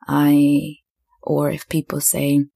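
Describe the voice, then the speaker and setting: A woman's voice: deep voice, monotone, slow, vocal fry, warm tone. A young woman from Zimbabwe and New Zealand hosting a solo podcast, rambling and thinking aloud with lots of fillers. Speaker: podcast host.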